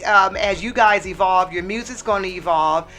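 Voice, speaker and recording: A woman hosting a live radio interview, chatty and teasing, with quick asides. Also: medium pitch, crowd noise. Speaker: radio host.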